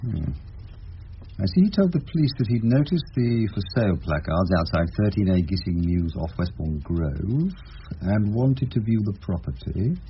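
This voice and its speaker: Dry english barrister in a radio play, lowish voice that moves around a lot, muffled recording. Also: male voice, sing-song. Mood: measured, probing. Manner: dry, deliberate, thoughtful with musing hums. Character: wry, measured English criminal barrister. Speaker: actor in the lead role and narrator. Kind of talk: radio drama narration and dialogue. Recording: dull, noisy lo-fi radio drama recording.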